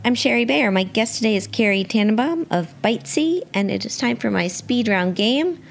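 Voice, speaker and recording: A woman's voice: fairly high pitch, lively intonation, moderate pace. A woman hosting a radio show, chatting casually as she interviews a guest. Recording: clearly noisy background.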